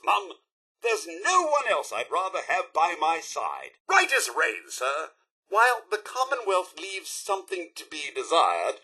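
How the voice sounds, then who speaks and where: British robot butler, video game character, very animated, slightly husky edge, prim, polite, fussy, devoted. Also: male voice, sits high, full character voice. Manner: formal, polite, fussy, theatrical servant.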